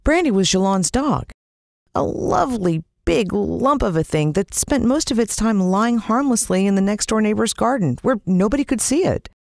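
Animated American woman narrating a story. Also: mid-range voice, normal speed, vocal fry, dry recording, clean studio sound. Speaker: audiobook narrator. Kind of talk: expressive children's story narration, in the first person.

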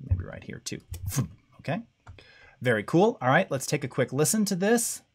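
A middle-aged man with a low voice hosting a live-stream audio tutorial, talking casually and checking in often with "all right?" and "okay?". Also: sing-song, normal speed, breathy edge. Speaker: audio masterclass host. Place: home studio with dim blue lighting. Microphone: studio mic close up.

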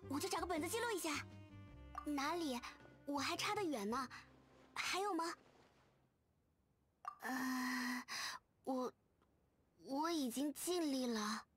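A gentle, shy teenage girl in a video game. The voice is high, with a modest, faltering, earnest delivery over background music. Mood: surprised, eager. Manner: soft-mannered, hesitant, modest. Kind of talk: video game character dialogue.

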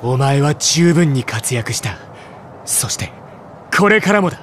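An actor playing a stern, cold young soldier captain in an anime game, speaking curtly and gruffly. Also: male voice, mid-range voice, lively intonation, unhurried, a bit bright, a little hiss or hum. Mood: grave resolve. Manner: curt, gruff, terse. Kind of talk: Japanese anime game voice acting.

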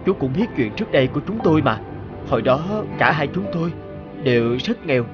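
A man's voice: on the high side, animated, fast, highs cut off, lightly acted. Man voicing a hurt young guy in a cartoon, emotional, voice rises and falls, music behind. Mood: wistful. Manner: heartfelt, emotional. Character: young man, wounded, brooding successful ex-boyfriend. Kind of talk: cartoon voice acting. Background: background music.

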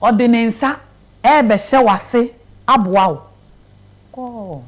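A woman's voice: fairly high pitch, extremely slow. A middle-aged woman with a Ghanaian accent hosting a conversational studio interview, probing with questions and short reactions. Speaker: interview host. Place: small TV studio, indoors. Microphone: clip-on lapel mic.

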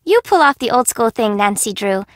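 A woman's voice: on the high side, expressive, normal speed, no room sound, clean studio sound, natural voice. An actress voicing a flippant, sassy young fashion-minded city girl in a video game, with a teasing, slangy, blunt way of talking. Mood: enthusiastic, breezy. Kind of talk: video game character dialogue.

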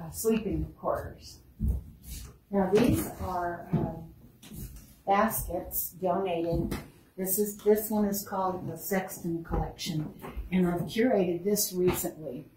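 Woman museum curator, warm and chatty guide, somewhat low voice, distant mic in a reverberant room with faint hiss. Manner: chatty, lingers on exhibits, laughs easily. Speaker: museum curator giving a tour. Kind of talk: casual guided tour talk.

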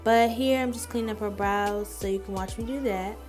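A woman's voice: mid-range voice, a little fast, over loud music. A woman makeup artist giving a casual, chatty voice-over tutorial, informally addressing her viewers.

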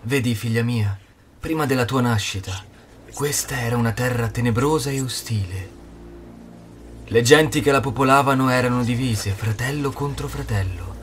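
A man's voice: medium pitch, normal speed, a little hiss or hum, lightly acted. A man playing a kindly, noble knight and devoted father in a cartoon fandub. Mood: gentle, solemn. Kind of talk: animated film fandub storytelling. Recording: music loud behind the voice.

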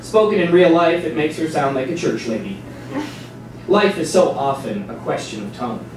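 A man's voice: a little high, lively intonation, moderate pace, darkish tone. A man reading scripted dramatic narration on stage. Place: small stage before a seated audience. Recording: distant mic, very echoey hall, noisy.

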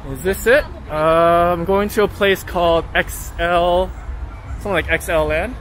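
A Canadian man in his thirties talks casually into a handheld camera as he strolls through a nightlife district. His voice sits a little high and stays relaxed and upbeat. Music plays clearly in the background. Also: unhurried, some chatter behind. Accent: Canadian English. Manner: chatty, easygoing, upbeat narration with fillers. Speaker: vlogger. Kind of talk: casual walking vlog. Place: busy city streets and bars at night. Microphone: handheld camera at arm's length.

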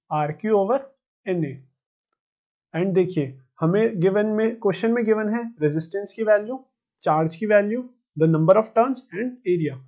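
Young male Indian-accented tutor, high voice, methodical step-by-step explaining, slightly dull lo-fi recording. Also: a little mellow. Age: 20s. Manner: methodical, repeats phrases. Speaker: physics teacher. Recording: dull, muffled lo-fi sound.

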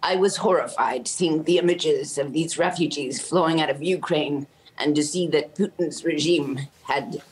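Impassioned low-voiced woman on a video call. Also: slight background noise, rough recording. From Canada. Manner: earnest and impassioned. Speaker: orchestra conductor. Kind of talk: remote news interview. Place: indoor room at home.

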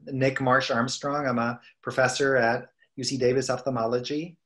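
A middle-aged man with an American accent, a scientist giving an informal, halting, conversational lecture over a video call. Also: medium pitch, flat delivery, a bit bright. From United States, East Coast. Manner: halting, conversational, self-correcting. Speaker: professor and research scientist presenting.

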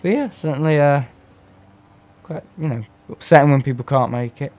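A hoarse man with a cough, rambling and clearing his throat as he gives casual commentary on a live gameplay stream. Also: on the high side, normal speed, darkish tone. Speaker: gaming streamer.

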